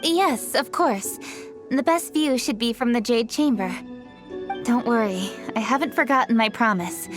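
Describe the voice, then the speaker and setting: A woman playing a composed, dutiful young female official in a video game, speaking in a measured, polite and earnest way. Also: fairly high pitch, over music, lightly acted.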